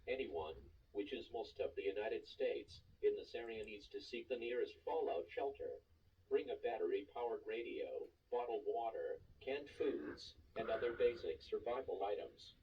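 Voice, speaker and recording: A man's voice: on the low side, lively intonation, normal speed, husky, muffled recording. A man voicing an impersonal emergency alert announcer, reading a formal, official scripted broadcast. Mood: formal, urgent. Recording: distant, noisy TV broadcast playback.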